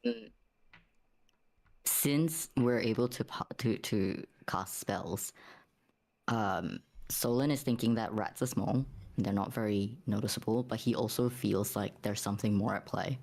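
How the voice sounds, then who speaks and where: A man playing a tabletop roleplaying game, casually explaining his move in a halting, thinking-aloud way. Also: on the low side, gravelly, darkish tone.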